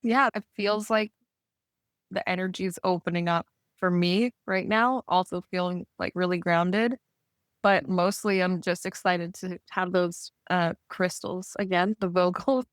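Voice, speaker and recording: A woman's voice: on the low side, steady tone, unhurried, smooth, dry recording, studio quality. A woman chatting casually and cheerfully about spiritual topics as a podcast co-host, often saying "like". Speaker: podcast co-host receiving healing.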